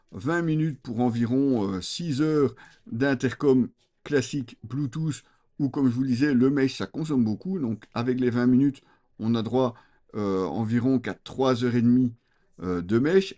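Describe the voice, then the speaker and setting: A man giving an unscripted, conversational product review, explaining things to viewers with frequent hesitant pauses. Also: medium pitch, lively intonation, mellow. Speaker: motorcycle gear reviewer.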